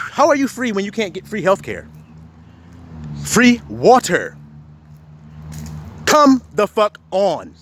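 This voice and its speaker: High, animated confrontational ranting man. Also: slow pace, long pauses, a little hiss or hum. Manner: confrontational, fires rhetorical questions, heavy swearing. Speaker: self-styled preacher. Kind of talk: profane scripture-quoting rant.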